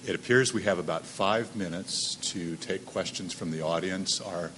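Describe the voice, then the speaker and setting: A man moderating a conference panel, measured with a touch of dry humor. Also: low voice, a bit bright. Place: conference hall. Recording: echoey hall with background noise.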